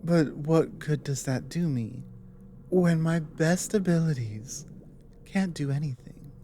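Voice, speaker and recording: Slow, mellow, weary dragon woman, acted by a woman. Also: deep voice, a little hiss or hum. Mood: grave, urgent. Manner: measured, halting, earnest delivery. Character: weary, earnest dragon woman with magic, war ally. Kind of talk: ASMR audio roleplay.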